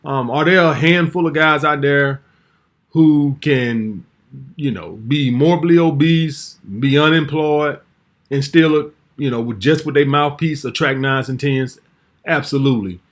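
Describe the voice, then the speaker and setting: A man's voice: on the high side, a little slow. A man in his forties hosting a casual commentary vlog, talking bluntly and brashly and swearing freely. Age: 40s.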